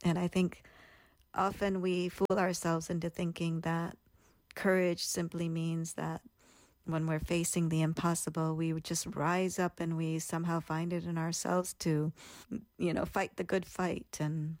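A woman's voice: on the low side, level tone, measured pace, a little mellow, dry recording. Earnest woman telling a personal story. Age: 30s. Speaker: guest.

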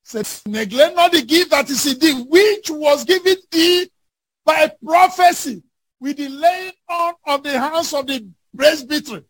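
A man preaching a fervent sermon with scripture readings, emphatic and declamatory, often raising his voice to a shout. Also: very high voice, measured pace, no echo, low-quality recording.

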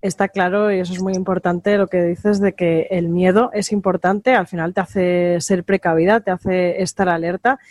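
A woman's voice: fairly low pitch, steady tone, normal speed, few pauses, a little mellow, no room sound, studio quality. A woman hosting a conversational interview, putting questions to her guest and commenting on the answers. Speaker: interviewer, host.

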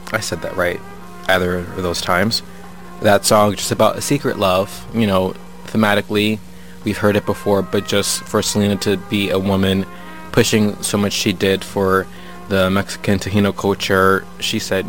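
A man from Connecticut hosting a radio show, chatting casually between songs and often repeating himself. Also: low voice. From Connecticut, USA. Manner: casual, rambling, repeats phrases. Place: radio studio.

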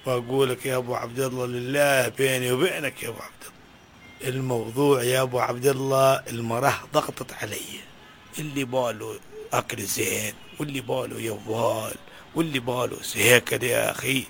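Actor playing a broke, fed-up dad; high, bright, quick-talking, loud complaints. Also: male voice, animated, a little hiss or hum. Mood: lamenting. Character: poor, harried father of nine. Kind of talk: comedy sketch acting.

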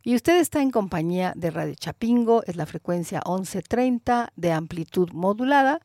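A woman with a Mexican Spanish accent, hosting a radio show in a measured, thoughtful way, pausing with fillers as she comments. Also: mid-range voice, expressive, darkish tone, dry recording, studio quality. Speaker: radio host.

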